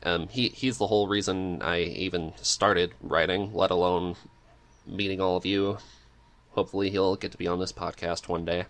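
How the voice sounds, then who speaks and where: Man, deep low pitch, podcast host, casual conversational style, hesitant, agreeable. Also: fairly even intonation, moderate pace, a bit bright. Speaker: podcast co-host reading questions. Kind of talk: casual interview chat.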